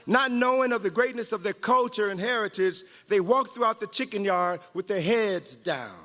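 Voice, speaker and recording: A man's voice: sits high, background noise. A man reading a story aloud to a group as a guest storyteller, playful and dramatic, with joking asides. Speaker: guest storyteller, author and historian. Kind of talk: animated read-aloud storytelling to live group. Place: large hall at a training event. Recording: reverberant hall.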